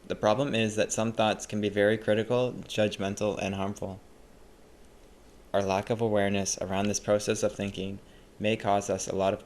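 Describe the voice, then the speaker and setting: Low-pitched man guiding a meditation. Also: slight background noise. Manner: calm, measured, gently instructive. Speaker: meditation guide. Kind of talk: guided meditation, scripted instruction.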